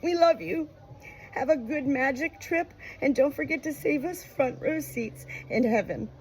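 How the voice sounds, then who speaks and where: A woman's voice: high voice, measured pace, darkish tone, voice pushed up, low-quality recording. A woman voicing an innocent young daughter from a book, calling out to her mother. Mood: tender, innocent. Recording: clear background noise.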